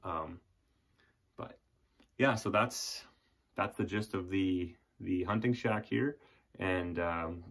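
A man's voice: low voice, slow, pauses often. A young man giving a casual, rambling walkthrough of a small wooden shack, filmed on a handheld phone held at arm's length. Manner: relaxed, rambling, frequent restarts and fillers. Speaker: vlogger. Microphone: handheld phone camera at arm's length.

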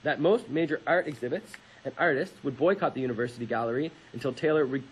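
A man in his twenties with a slightly husky voice, co-hosting a radio show, reading aloud and chatting. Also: medium pitch, fast, rough recording.

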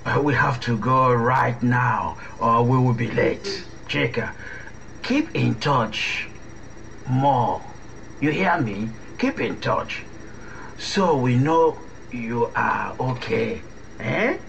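Radio drama: fatherly middle-aged Nigerian man, placating, gravelly, on a slightly muffled recording. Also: medium pitch, lively intonation, fairly bright tone, heavily acted. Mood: fatherly, hurried. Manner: soothing, paternal, measured. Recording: dull, faintly noisy recording.

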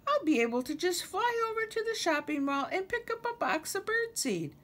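A woman voicing an excitable, breezy female mockingbird in a children's storybook. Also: very high-pitched, normal speed, voice pushed up, full character voice. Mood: breezy, excited.

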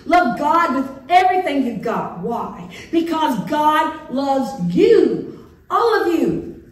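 A middle-aged woman preaches from the pulpit, lively and playful, slipping in and out of dramatic voices. Her fairly high voice rings around a big echoing sanctuary. The camera sits well back in the pews. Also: slow pace. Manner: animated, playful, acting out biblical figures with big swings. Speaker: pastor. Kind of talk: sermon. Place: church sanctuary with organ pipes. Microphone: far camera in the pews. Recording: echoey church from a distance.

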